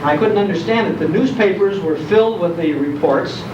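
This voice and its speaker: A man's voice: sits high, normal speed. An older American man from Chicago giving a humorous, nostalgic storytelling talk to a live audience. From Chicago, United States. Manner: storytelling, rhetorical questions, humorous. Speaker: public speaker on old-time radio. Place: indoor program hall before an audience. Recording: echoey hall, distant mic, noisy, dull.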